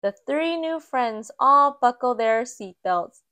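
A woman reading a children's picture book aloud in a gentle, expressive storytime voice. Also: fairly high pitch, no echo. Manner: slow, gentle and expressive, lifting for quoted lines. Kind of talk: read-aloud storytime narration. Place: indoors at a table, book filmed from above.

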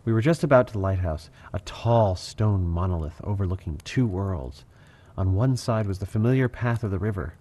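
A man's voice: sits low, animated, normal speed, few pauses, dark tone. An actor playing a weary survivor who reflectively narrates his journey in an audio drama. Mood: somber, reflective.

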